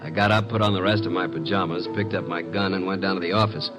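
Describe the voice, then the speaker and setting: A man acting a streetwise private eye's assistant from an old-time radio mystery, with a low voice and music behind him. His delivery is wry and clipped, sardonic and exasperated, like a gumshoe telling his own case. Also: steady tone, quick pace, close to an everyday voice. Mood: wry narration. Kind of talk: old-time radio drama acting and first-person narration.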